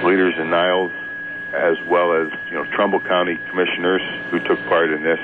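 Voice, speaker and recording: A man calling in to talk radio, chatting about politics in a halting, repetitive and emphatic way. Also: sits low, level tone. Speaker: radio call-in guest. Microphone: telephone. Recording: tinny phone line, noisy hum, radio aircheck.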